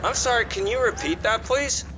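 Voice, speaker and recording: A deadpan, baffled fast-food order taker in a sitcom. He comes through a dull intercom, buried in very loud outdoor noise. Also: extremely high pitch. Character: baffled, deadpan drive-thru worker. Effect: drive-thru intercom filter. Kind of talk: podcast sitcom acting. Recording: muffled intercom over loud traffic noise.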